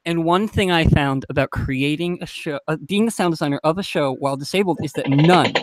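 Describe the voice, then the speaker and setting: A man's voice: on the high side, no room sound. A man talking casually as a podcast panelist and sound designer, telling anecdotes in an informal, emphatic way.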